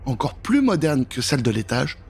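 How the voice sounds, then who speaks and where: A man's voice: medium pitch, sing-song, normal speed, creaky, a little mellow, husky. A middle-aged man telling a scary children's tale in a theatrical, suspenseful way, close on a studio mic. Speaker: storyteller. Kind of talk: dramatic storytelling for children. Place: small indoor recording set. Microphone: studio mic with pop filter, close. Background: music.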